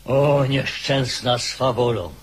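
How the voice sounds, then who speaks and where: A man playing an aggrieved army officer in a radio play, raspy and oratorical, lamenting in archaic style. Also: medium pitch, takes it slowly, poor audio. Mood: lamenting, indignant. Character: aggrieved officer chronicling his army's mutiny.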